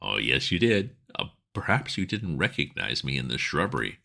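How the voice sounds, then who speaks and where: A man playing a cocky young clubman, breezy and jaunty, bouncing his lines around a low voice. He sounds pleased with himself and quick to tease. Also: very animated, vocal fry, pushed and bright, heavily acted.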